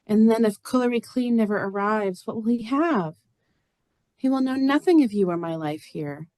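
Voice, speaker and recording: A woman's voice: fairly high pitch, animated, moderate pace, mellow, no room sound, lightly acted. A woman reading the part of an earnest, weary, otherworldly mother and farm neighbor in a stage play. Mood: wistful, earnest. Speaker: actor in a staged reader's theater.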